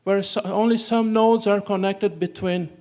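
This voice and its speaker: A man's voice: high voice, fairly even intonation, mellow. A man from Brazil, a native Portuguese speaker with a Brazilian accent, giving a technical conference talk, often breaking off and restarting words and tagging lines with "okay". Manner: halting, restarts words, tags sentences with "okay". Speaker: conference presenter. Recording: hall reverb.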